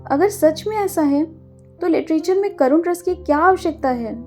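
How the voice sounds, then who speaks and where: A woman's voice: very high voice, normal speed, darkish tone, faint music behind, pitched above the performer's own voice. A woman voicing a sensitive, introverted young girl in an audio story, with an earnest, emotional way of talking. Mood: hurt, defensive. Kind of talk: acted dialogue in audio storytelling.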